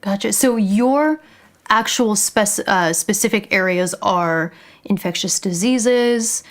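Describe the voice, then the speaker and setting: Female interview host, mid-pitched voice, brief questions. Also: slow pace. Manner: brief questions and short acknowledgements.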